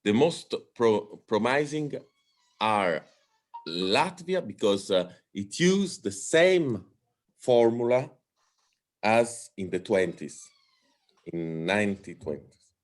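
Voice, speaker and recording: A man's voice: on the low side, big pitch swings, slow, long pauses. A man with a strong Italian accent giving a talk in English, hesitant, with many fillers and restarts. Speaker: guest speaker on democracy. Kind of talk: lecture-style talk.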